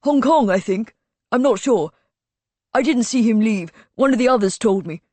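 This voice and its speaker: A woman's voice: fairly high pitch, animated, moderate pace, mellow, dry recording, pitched above the performer's own voice. A woman voicing a young male student who answers in a hesitant, offhand way. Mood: unsure.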